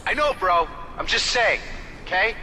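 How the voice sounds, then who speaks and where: A man's voice: high-pitched, animated, normal speed, sharp tone, soft background music, full character voice. An actor playing a sarcastic, wisecracking male mercenary soldier who jokes and complains in a game cutscene. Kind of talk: video game cutscene acting.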